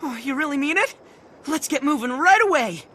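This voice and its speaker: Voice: sits high, lively intonation, moderate pace, slight background noise. An actor voicing a timid, earnest, bumbling small male creature in a video game, with an American accent and a hesitant, nervous way of talking. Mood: eager. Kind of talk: cartoonish video game character acting. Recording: loud game music behind. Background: game music.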